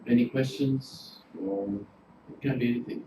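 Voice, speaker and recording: A man's voice: mid-range voice, fairly even intonation, normal speed. A man from Greece in his forties, a hotel manager giving an informal workshop with lots of fillers. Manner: chatty, informal, filler-heavy. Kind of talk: relaxed presentation to a class. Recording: roomy, noisy room recording.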